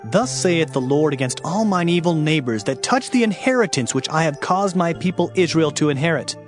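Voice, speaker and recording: An actor playing a fervent, sorrowful Hebrew prophet, narrating scripture in a solemn, declamatory, emphatic way. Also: male voice, a little high, expressive, a little fast, close to an everyday voice. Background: music.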